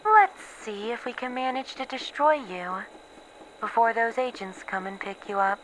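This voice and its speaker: A woman playing a despairing, bitter researcher in a horror roleplay log, halting and wry as she faces her doom. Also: fairly high pitch, animated. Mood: grimly vengeful. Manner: halting, wry, self-mocking, sighing. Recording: faint background noise.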